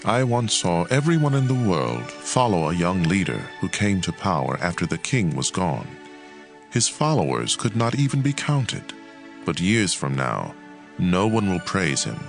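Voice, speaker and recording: A man narrating scripture as a dramatized audiobook, in a measured, expressive and emphatic delivery. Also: deep voice, creaky, over music.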